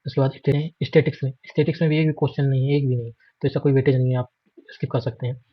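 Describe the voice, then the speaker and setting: Fast, flat-toned Indian man teaching. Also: mid-range voice, no room sound, dull audio. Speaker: exam prep instructor. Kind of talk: informal screen walkthrough lesson.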